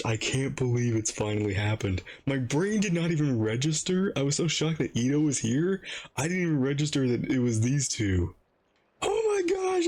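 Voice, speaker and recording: A man hosting a game reaction, commenting in an excited, exclamatory way and often repeating himself. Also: medium pitch. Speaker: reaction video host.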